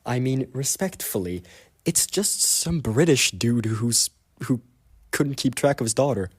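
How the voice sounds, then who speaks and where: A man's voice: medium pitch, animated, measured pace, breathy edge. A man giving a casual voice submission, joking and hesitant. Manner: joking, hesitant, mock-respectful. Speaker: listener sending a voice submission. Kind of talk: casual chat.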